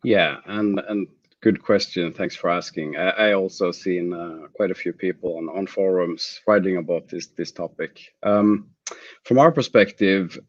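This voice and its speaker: Low male voice, halting, slightly dull audio. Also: no echo. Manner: hesitant, halting, measured phrasing. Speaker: product manager, interview guest. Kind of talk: technical interview answers.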